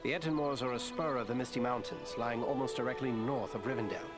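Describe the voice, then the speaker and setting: A man plays a noble counsellor in a fantasy game. The voice sits at a middle pitch, serious, formal and informative, with music clearly behind. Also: steady tone, quick pace, close to an everyday voice. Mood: earnest, briefing. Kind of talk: dramatic fantasy game acting.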